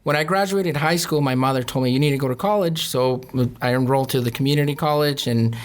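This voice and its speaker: A middle-aged man, a podcast guest telling his own story in a plain, unhurried, earnest way. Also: medium pitch. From Mexicali, Mexico and Imperial County. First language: Spanish. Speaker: podcast guest, nurse practitioner. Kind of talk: casual interview talk.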